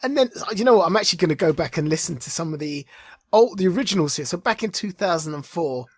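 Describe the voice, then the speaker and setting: A man co-hosting a podcast with casual fan banter, informal, playful and excitable in the way he talks. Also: sits high, quick pace, slightly rough, dry recording. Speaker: podcast co-host.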